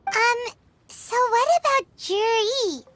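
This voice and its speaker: A woman voicing a tiny childlike fairy companion in a video game, squeaky, cutesy, eager and naive. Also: takes it slowly, background noise. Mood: curious, startled. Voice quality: squeaky, babyish. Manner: cutesy, third-person, exaggerated.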